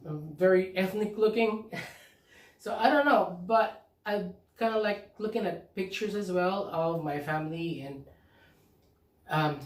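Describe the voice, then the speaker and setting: A man from the Philippines co-hosting a casual couple's vlog, talking in a halting, easygoing way and laughing often. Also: high voice. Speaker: vlog co-host. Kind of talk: casual chat. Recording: echoey room, mic at a distance.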